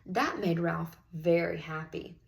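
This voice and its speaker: A woman's voice: lowish voice, takes it slowly, vocal fry. A woman teacher reading a picture book aloud to young children, expressively and with encouraging questions and praise. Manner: expressive storybook delivery, drawing out key words. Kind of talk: interactive classroom read-aloud with questions and praise.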